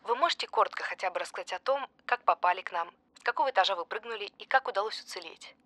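A woman's voice: medium pitch, very fast, fairly bright tone, no echo, close to an everyday voice. An actress playing a pushy, desperate female journalist in an audio drama, politely insistent in her questioning. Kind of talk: naturalistic audio drama acting.

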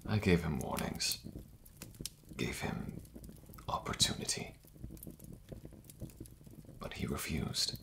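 Man playing a deep, breathy crime boss. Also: background noise, heavily acted. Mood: grave, reflective. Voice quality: breathy, half-whispered. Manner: slow, intimate, measured, formal wording. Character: idealistic male crime boss, soft-spoken and protective. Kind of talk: ASMR fantasy audio roleplay.

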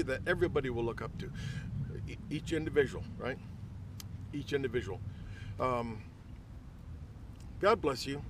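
An older man talking earnestly to camera in an open field, reading quotes aloud with emphasis. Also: mid-range voice. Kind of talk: casual outdoor video talk. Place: open field outdoors by a tower. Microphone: camera at arm's length. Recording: outdoor ambient noise.

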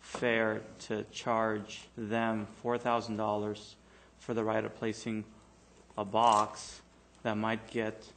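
A man's voice: on the low side, monotone, slow pace, fairly bright tone, a little hiss or hum. A man on a city council arguing his point in a meeting, hesitant and plainspoken, often repeating words, in a council chamber.

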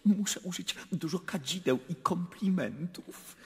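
An actor playing an obsequious, sly court petitioner in a radio drama, ceremonious and fawning, in a hoarse, breathy voice. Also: male voice, sits high, unhurried, full character voice. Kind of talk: theatrical radio drama acting. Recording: long hall-like reverb.

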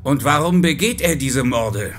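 An actor in an audio drama playing a serious, businesslike police chief inspector. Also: male voice, medium pitch, expressive, fairly bright tone, slight background noise. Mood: grave, pondering. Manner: businesslike, brisk. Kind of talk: German audio drama acting.

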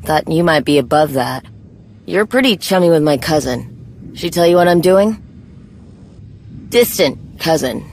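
A woman's voice: low voice, animated, a little slow, pauses often, a little mellow, a little hiss or hum. A woman playing a tough, guarded young warrior in a video game, speaking bluntly and drily. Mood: guarded, dry. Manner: blunt, dry, no-nonsense. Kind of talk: video game cutscene acting.

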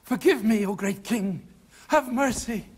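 An actor playing a humble, terrified older servant in a video game, pleading and stammering for mercy. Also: male voice, high-pitched, measured pace, husky. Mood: desperate pleading. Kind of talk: dramatic video game acting.

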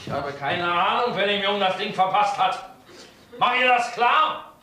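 High, sharp, bullying tough. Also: male voice, expressive, moderate pace. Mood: angry, threatening. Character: menacing, bullying male tough laying down orders. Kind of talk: German crime radio play acting. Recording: very echoey, faint noise and clicks.

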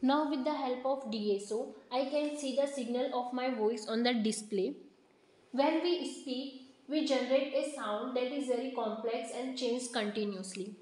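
A woman in her twenties with an Indian accent, presenting an instructional demonstration plainly and step by step. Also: high-pitched. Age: 20s. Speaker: product demonstrator. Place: office showroom with shelves, indoors. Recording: roomy, echoing indoor recording.

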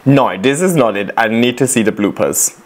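A man casually explaining plant-care tips in a chatty, relaxed way, reacting to viewers' videos. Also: medium pitch, normal speed, noisy background. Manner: relaxed, chatty, giving practical tips with fillers.

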